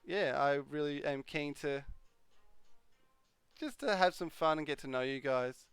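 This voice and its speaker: A man's voice: on the high side, measured pace, smooth, no room sound, soft background music. A young man hosting a live stream, chatting casually and answering viewers' questions. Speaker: livestream host. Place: home streaming room.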